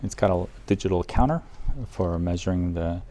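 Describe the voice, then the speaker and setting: A man's voice: deep voice, moderate pace, mellow. A man presenting a casual product guide in an audio shop, talking informally and with enthusiasm for the gear. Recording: noticeable background noise, room ambience.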